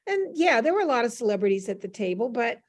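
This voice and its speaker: An older woman with a fairly high voice shares memories as a guest on a panel. Her talk is casual and anecdotal, with a gently teasing streak. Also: big pitch swings, quick pace. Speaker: interview guest.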